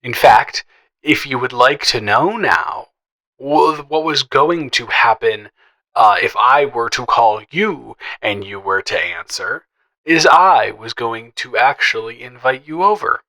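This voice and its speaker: Guy playing a teasing best friend type, bright voice, kinda shy n stammery, flirty audio roleplay, chatty. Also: medium pitch, animated, heavily acted, poor audio. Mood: nervous, friendly. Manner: playful, teasing, halting with stammers and chuckles. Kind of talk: improvised, conversational romantic audio roleplay.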